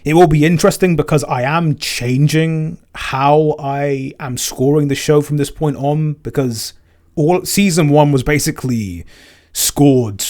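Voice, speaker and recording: A man's voice: fairly high pitch, unhurried, a little mellow. A man co-hosting a casual Q&A as a composer, explaining things in a thoughtful, rambling way and often correcting himself. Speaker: podcast co-host and soundtrack composer. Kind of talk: casual Q&A chat.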